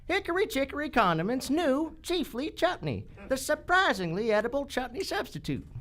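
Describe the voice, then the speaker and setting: A woman's voice: very animated, moderate pace, a bit bright. A woman playing a friendly, salesy storekeeper in an exaggerated parody of a 1940s radio commercial. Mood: upbeat, salesy. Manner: exaggerated, upbeat sales pitch. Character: folksy, salesy male country storekeeper in radio ad.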